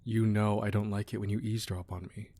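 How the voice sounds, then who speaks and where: A man playing a weary, menacing crime boss with a tender side, in a controlled, deliberate ASMR roleplay delivery. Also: on the low side, flat delivery, dark tone, no echo, close to an everyday voice. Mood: gentle, weary. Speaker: audio roleplay voice actor. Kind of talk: ASMR roleplay acting, close and dramatic.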